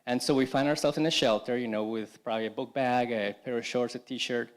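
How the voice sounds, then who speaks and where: A man from Colombia tells his personal faith story to a church congregation. His voice sits at an ordinary middle pitch, and he speaks haltingly, often restarting phrases and filling gaps with "you know" and "um". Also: steady tone. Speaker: congregation member giving testimony. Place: church service.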